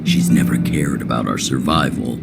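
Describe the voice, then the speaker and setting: An actor playing a gruff, blunt older resistance leader in a game cutscene, measured and frank, with a gravelly voice. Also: male voice, extremely low pitch, exaggerated voice. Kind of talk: dramatic game cutscene dialogue. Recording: loud music under the voice.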